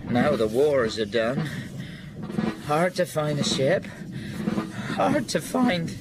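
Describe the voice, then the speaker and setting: An actor playing a big, haunted, exhausted sailor in a radio drama, halting and fearful, tormented by a demon. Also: male voice, fairly high pitch, big pitch swings, over loud music, exaggerated voice. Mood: tormented, weary.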